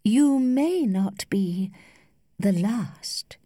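A woman voicing a worldly, flirtatious lady who teases with cool indulgence in a measured, studied way, as in a storybook. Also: mid-range voice, big pitch swings, a little mellow, dry recording, clean studio sound, pitched above the performer's own voice. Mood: earnest, rueful. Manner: studied, measured, archly playful.

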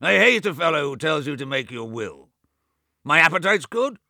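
A petulant, sickly old man fretting over doctors and dinners, voiced by a man reading a storybook. The voice rides high and swings widely, bright and crisp in a dry close setting. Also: very animated, moderate pace, dry recording, full character voice. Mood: irritable. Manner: petulant, complaining. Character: querulous sick old man, bedridden and boastful.

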